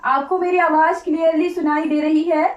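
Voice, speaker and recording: A woman's voice: extremely high pitch, flat delivery, moderate pace, dull audio. An Indian woman teacher giving an informal online class lecture, mixing in English terms, repeating points and checking in often, her voice slightly off. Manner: repetitive, instructive, often checking with "right?". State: voice slightly off today. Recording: roomy echo, distant microphone.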